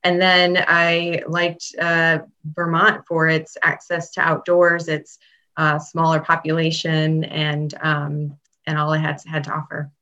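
A woman's voice: sits low, monotone, slow pace, bright, forceful tone. A woman from Chicago, a public health veterinarian answering interview questions in a friendly, casual way with many ums. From Chicago, Illinois. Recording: dull lo-fi sound.

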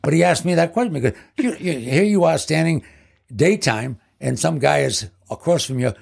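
Older man in his 70s, guest storyteller, gravelly husky voice, rambling. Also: on the high side, lively intonation, normal speed. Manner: rambling, leans on "basically". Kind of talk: casual storytelling.

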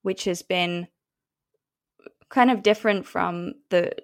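A woman's voice: lowish voice, slow. Encouraging podcast host, a woman. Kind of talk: casual interview conversation.

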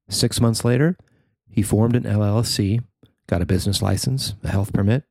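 A man narrating a newspaper feature he wrote, in a measured, even reading. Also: fairly low pitch, no room sound. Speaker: newspaper columnist reading his story. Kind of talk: scripted narration.

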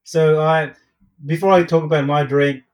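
A man's voice: on the high side, steady tone, normal speed. Australian male co-host, wry self-mocking humor, loose podcast banter over a call. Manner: self-deprecating, jokey. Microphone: remote call.

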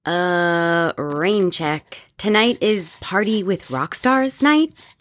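A woman's voice: fairly low pitch, lively intonation, drawn-out words, no room sound. An actress playing a breezy, party-hungry young woman in an audio drama. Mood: playful, eager. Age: young adult.

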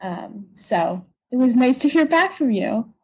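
A woman's voice: on the high side, very animated, unhurried, slightly rough. A young American woman with a childlike voice, talking casually and reflectively as an interview guest, often hesitating as she goes. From Pennsylvania, United States. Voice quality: childlike, youthful tone. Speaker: voice and stage actor, interview guest.

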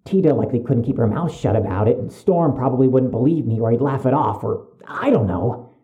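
An actor playing a suspicious young medical student in an audio drama, speaking with precise, urgent, stiffly formal wording. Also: male voice, medium pitch, animated, fairly quick, dark tone, highs cut off, close to an everyday voice. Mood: secretive, worried.

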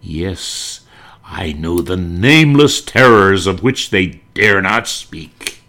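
A man voicing a sinister, all-knowing storyteller of radio mystery, hushed, drawn-out and ominous. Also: deep voice, lively intonation, voice pushed down, heavily acted. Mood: ominous, secretive. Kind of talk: 1940s radio mystery acting.

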